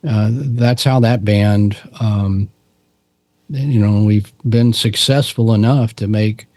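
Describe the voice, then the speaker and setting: A middle-aged man from Texas with a low voice, chatting as a podcast guest in a relaxed, rambling way with frequent restarts. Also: slow pace, no room sound. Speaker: podcast guest, musician and cryptid researcher. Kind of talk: casual interview storytelling.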